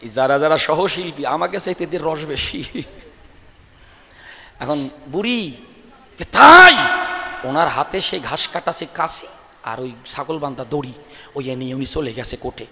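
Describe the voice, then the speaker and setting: A man telling comic folk stories on stage in an animated style. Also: fairly high pitch, normal speed. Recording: echoey hall sound system.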